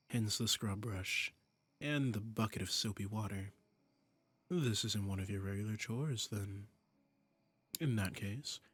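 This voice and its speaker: A man playing a flirtatious, commanding demon prince in an intimate roleplay audio drama, silky, teasing and imperious, with a breathy voice that often drops toward a whisper. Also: fairly low pitch, fairly bright tone.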